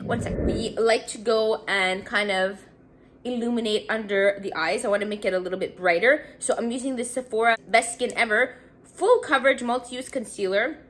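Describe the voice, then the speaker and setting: A woman's voice: on the high side, a bit bright. A woman around thirty from Montreal, congested and sniffling, chatting casually and rambling with many fillers while doing her makeup. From Montreal, Canada. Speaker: beauty vlogger. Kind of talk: casual get-ready-with-me chat.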